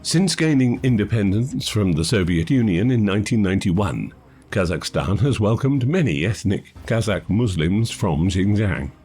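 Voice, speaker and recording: A male narrator delivers scripted documentary voice-over. His voice sits a little low, and his delivery is measured and formal. Music plays faintly behind him. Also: very animated, mellow, slightly rough. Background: background music.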